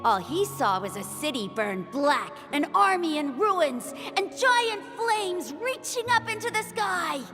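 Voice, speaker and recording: A woman voicing a small, friendly village child in a video game who tells stories in a dramatic, deliberate way. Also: very high-pitched, sing-song, big-room sound, music in the background, full character voice.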